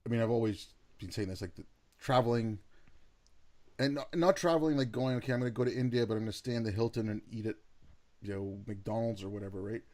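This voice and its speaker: A man's voice: mid-range voice, quick pace, a little mellow. A man hosting a podcast interview, speaking in a friendly, rambling way with lots of "like" and "you know". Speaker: podcast host. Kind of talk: casual interview.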